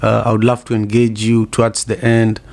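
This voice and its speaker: A man hosts a live discussion, thanking and welcoming his guests graciously. His low voice is gravelly, with a flat, even delivery. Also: fairly quick. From Kenya. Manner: gracious, appreciative, with repeated words. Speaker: host. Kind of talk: hosting a live audio discussion.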